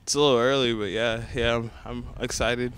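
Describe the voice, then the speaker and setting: A man born in Nigeria who grew up in Detroit, answering street interview questions in a relaxed, offhand way. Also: medium pitch, a little fast.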